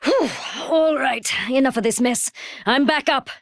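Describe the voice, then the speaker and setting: An actress playing a cheeky, tough young gunner with a British accent in a video game. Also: female voice, sits high, unhurried, no echo, studio quality. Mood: fed up, cheeky.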